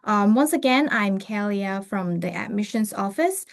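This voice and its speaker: A woman from a university admissions office opens and guides an online session. She talks in a relaxed, friendly way at a middle pitch, now and then dropping in an um or an uh. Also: moderate pace, vocal fry. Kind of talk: webinar hosting.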